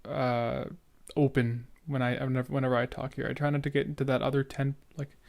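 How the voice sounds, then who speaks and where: A man's voice: mid-range voice, level tone, vocal fry, a little mellow. Young male podcaster, casual and earnest, mid-range voice, occasional stutter. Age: young adult. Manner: halting, stuttering, repeats words. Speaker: podcast host reacting to an old episode. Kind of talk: casual reaction commentary.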